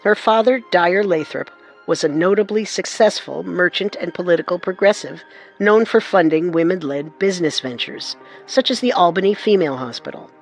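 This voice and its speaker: A man narrating a true-crime radio drama in a measured, dramatic scripted style. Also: high-pitched, faint music behind.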